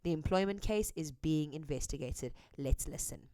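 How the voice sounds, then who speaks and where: Earnest woman, smooth low voice. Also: moderate pace, warm tone, dry recording. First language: English. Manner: earnest, candid, with frequent hesitant ums. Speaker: host, translator. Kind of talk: earnest commentary and live translation.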